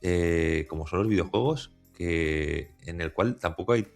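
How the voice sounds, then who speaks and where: Low gravelly male podcast host. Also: a little slow, soft background music. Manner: hesitant, many restarts and fillers. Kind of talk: conversational interview.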